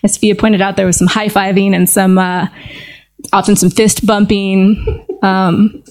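A woman hosting a casual conversation at a table with friends, talking thoughtfully and pausing with fillers. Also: mid-range voice, level tone, a little mellow. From United States. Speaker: podcast host. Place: seated at a table with others.

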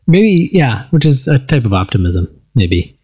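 An American man speaks as a guest in a relaxed roundtable chat. His voice is a bit husky and sits at an ordinary pitch. He weighs his words and often restarts a phrase before going on. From United States. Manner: hesitant, measured.

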